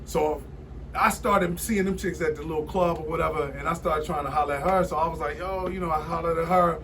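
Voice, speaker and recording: A man's voice: fairly high pitch, steady tone, brisk, recorded from a distance, crowd noise. A man in his forties telling stories in a casual studio conversation, rambling with lots of fillers and restarts. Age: 40s. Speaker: guest.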